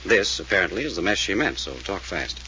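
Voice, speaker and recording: Brisk, fast-talking radio detective. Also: male voice, low-pitched, big pitch swings, bright, forceful tone, heavily acted. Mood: pressing, firm. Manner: clipped, businesslike, insistent. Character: brisk, no-nonsense male amateur detective, commanding and practical. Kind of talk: old-time radio mystery serial acting. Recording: noisy old-time radio recording.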